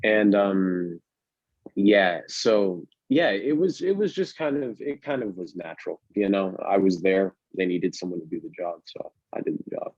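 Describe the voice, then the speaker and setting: A young man in his late teens, a student set designer, talking hesitantly with many pauses and restarts. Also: expressive, darkish tone, dry recording. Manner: hesitant, many ums and restarts, laughs lightly. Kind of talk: casual discussion on a video call. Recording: dry, muffled low-fi mic.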